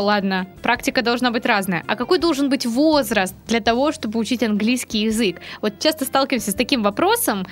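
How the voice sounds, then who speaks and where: A woman hosting a podcast, asking her guest questions in a halting way, with pauses and filler sounds. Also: a little high. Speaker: podcast host. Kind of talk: interviewing a guest.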